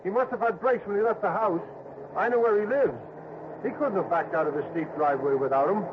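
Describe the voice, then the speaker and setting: An actor playing a chatty, blunt police sergeant in a 1960s radio drama, folksy, emphatic and sure of himself. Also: male voice, high-pitched, a little fast, lightly acted. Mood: matter-of-fact, insistent. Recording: noisy, muffled old radio broadcast.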